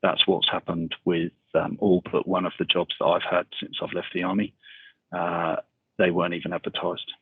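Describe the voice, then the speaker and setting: A middle-aged man giving a casual webinar talk, speaking in a monotonous, rambling way with many fillers over a video call. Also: sits low, normal speed, vocal fry, slightly rough, no room sound. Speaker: webinar speaker on military transition. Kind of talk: casual presentation. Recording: tinny video call audio.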